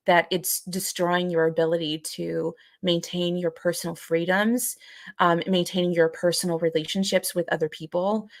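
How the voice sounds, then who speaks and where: Casting: female podcast co-host, lowish and even-toned, explains haltingly with repeated phrases. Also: no room sound, clean studio sound. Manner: halting, repetitive as thoughts are worked out. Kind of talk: explanatory discussion.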